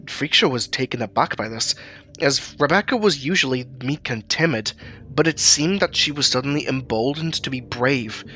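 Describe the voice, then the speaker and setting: A man's voice: medium pitch, animated, moderate pace, pushed and bright, a little hiss or hum. A man reading scripted third-person narration for an audio drama. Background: music.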